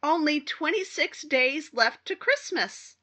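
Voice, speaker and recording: An actress playing an excitable young wife in a 1950s-style radio drama. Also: female voice, high voice, slow, exaggerated voice. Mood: cheerful, bustling. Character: chatty, cheerful wife turned frightened victim.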